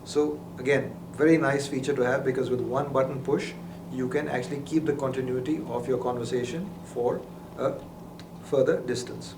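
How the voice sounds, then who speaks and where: A man walks through a product's functions step by step in a methodical, explanatory way. His voice sits a little away from the microphone in a roomy space. Clear noise runs behind him. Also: mid-range voice, steady tone, normal speed. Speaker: product demonstrator. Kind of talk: unscripted instructional product walkthrough. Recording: roomy, distant mic with clear background noise.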